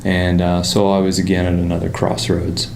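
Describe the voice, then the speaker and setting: A man with a fading Pittsburgh accent telling his story in a relaxed interview, with frequent fillers and restarts. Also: sits low, moderate pace, gravelly, echoey room, slight background noise. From Pittsburgh area, United States. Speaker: motorcycle designer, guest. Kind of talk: casual interview conversation.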